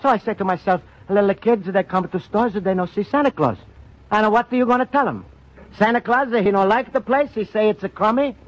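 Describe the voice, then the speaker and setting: An actor playing a kindly, eager Italian immigrant as Santa in a radio comedy, speaking earnest, apologetic broken English with an Italian accent. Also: male voice, sits high, a little fast, a little hiss or hum, close to an everyday voice. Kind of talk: old-time radio comedy acting. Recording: dull, band-limited old radio recording.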